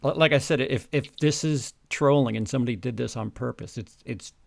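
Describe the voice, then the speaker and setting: A man co-host reacting in casual chat, with hesitant, halting phrasing. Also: mid-range voice, vocal fry, a little mellow, no echo.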